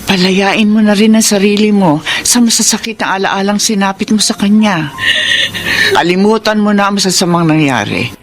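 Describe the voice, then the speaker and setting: A woman's voice: medium pitch, normal speed, lightly acted. Actress as caring, motherly Filipino friend. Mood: gently encouraging. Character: caring, motherly woman friend, gently advising. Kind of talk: Filipino radio drama acting.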